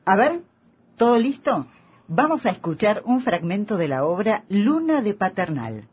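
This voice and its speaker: An actress playing a polite, patient, encouraging radio interviewer in a comedy, speaking with an Argentine accent. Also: female voice, mid-range voice, very animated, a little slow, darkish tone. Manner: courteous, encouraging.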